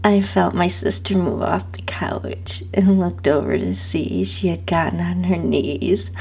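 A woman with a breathy, whispery, husky voice narrates a first-person story in an intimate, sultry, hushed manner. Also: fairly low pitch. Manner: slow, hushed, sultry delivery. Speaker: host and narrator of own story podcast.

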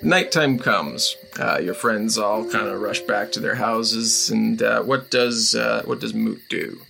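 A man narrating a comedic tabletop game as game master, in a loose, halting way. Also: lowish voice, gravelly, sharp tone, faint music behind.

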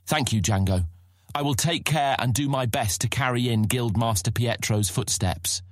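A man's voice: medium pitch, normal speed, dry recording, clean studio sound, lightly acted. A man playing an earnest, respectful young thief in a fantasy audiobook drama. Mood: earnest, grateful. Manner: earnest, inquisitive, polite.

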